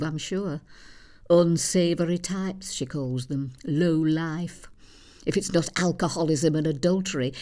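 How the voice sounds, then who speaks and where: A woman's voice: deep voice, a little mellow. An actress playing an elderly, plain-spoken Yorkshire mother, telling a dry, gossipy monologue in a conversational way. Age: older. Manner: dry, matter-of-fact, gossipy. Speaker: actor in a theatre monologue. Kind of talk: conversational storytelling stage monologue.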